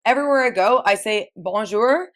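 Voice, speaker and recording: A young woman in her twenties from Ontario speaks as a podcast guest, in a mid-pitched voice. Her talk is casual and frank, peppered with swearing and quick self-corrections. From Ontario, Canada. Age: 20s. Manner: frank, profane, with frequent restarts. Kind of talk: casual chat.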